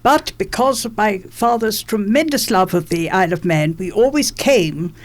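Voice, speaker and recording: An elderly woman speaks at a middling pitch for a woman. She is reminiscing as a guest in a radio interview. She repeats phrases to press a point home. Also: lively intonation, moderate pace. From England, born in London. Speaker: interviewee, former politician.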